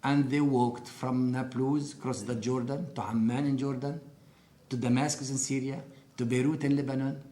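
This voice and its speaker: A man in his sixties with an Arabic accent, giving earnest, deliberate personal testimony in an interview. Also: mid-range voice, steady tone, mellow, roomy sound. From Upper Galilee, Israel. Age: 60s. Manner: earnest, deliberate storytelling with emphatic pauses.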